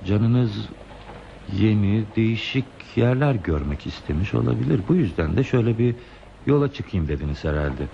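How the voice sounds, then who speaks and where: A man's voice: on the low side, big pitch swings, vocal fry, dark tone. An actor playing a lonely, talkative man who is dreamily devoted to trains, musing poetically in a radio play. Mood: gentle, chatty. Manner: musing, poetic, slow pauses in monologue. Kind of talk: radio theatre acting. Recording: dull, lo-fi radio play tape, hissy.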